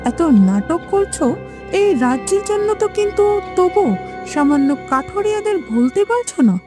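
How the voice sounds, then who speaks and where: Scornful cartoon princess, female performer: high-pitched, sarcastic, jealous of her rival, music behind. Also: lively intonation, moderate pace. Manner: sarcastic, mocking. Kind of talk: cartoon fairytale acting.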